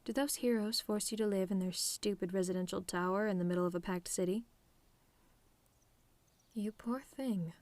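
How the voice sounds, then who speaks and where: A woman playing a telepathic villainess in an ASMR roleplay, sardonic and theatrical, menacing with a tender streak, in deliberate phrasing. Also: medium pitch, a little mellow, dry recording, lightly acted. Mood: gentle, coaxing. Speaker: audio roleplay performer.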